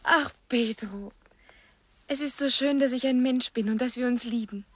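An actress in a radio play, playing a tender, anxious young river spirit in a breathy, often half-whispered voice. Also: female voice, fairly high pitch, moderate pace, no echo, lightly acted. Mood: relieved.

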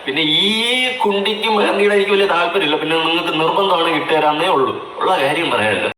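A man's voice: on the high side, fast talker, pushed and bright. A man giving informal reaction commentary in a scolding, sarcastic manner. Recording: roomy, distant mic, faint noise.

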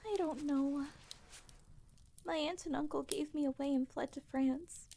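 A woman's voice: high voice, steady tone, unhurried, vocal fry, dark tone, a little hiss or hum. A woman playing a timid, distressed, well-bred young heiress in a comic dub, earnest and polite. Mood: bitter, despondent. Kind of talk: comic dub character acting. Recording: voice over prominent music.

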